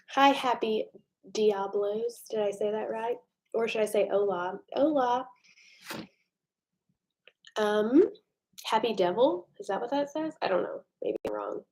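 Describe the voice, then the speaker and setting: An American woman in a relaxed late-night livestream, talking loosely with her viewers. She reads their comments aloud and pokes fun at herself in an easygoing, chatty way. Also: fairly high pitch, poor audio. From United States. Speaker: livestream host. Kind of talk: casual live chat with viewers. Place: home at night.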